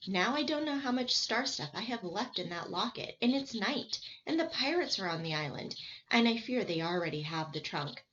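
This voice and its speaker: A woman's voice: mid-range voice, very animated, normal speed, fairly bright tone, voice pushed up. An anxious but determined young girl in a storybook adventure, read by a woman. The recording is muffled, with some background noise. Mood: worried, upset.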